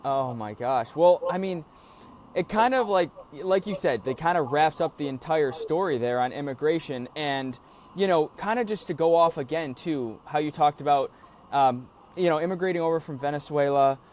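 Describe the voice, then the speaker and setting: A man's voice: fairly high pitch, moderate pace, a little mellow, a little hiss or hum. A man hosting an interview, asking casual, hesitant questions full of fillers. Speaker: podcast host. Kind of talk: interview conversation.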